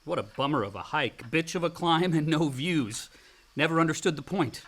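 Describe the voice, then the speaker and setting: An actor playing a brash, loyal younger son in a radio play, blunt and informal. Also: male voice, fairly high pitch, moderate pace, a bit bright. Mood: breezy, teasing.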